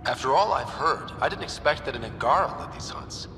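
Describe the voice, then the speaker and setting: A dry, direct young leader. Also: male voice, mid-range voice, lively intonation, a little fast, pushed and bright. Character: young male human pathfinder leader. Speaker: team leader. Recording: reverberant game audio with hiss.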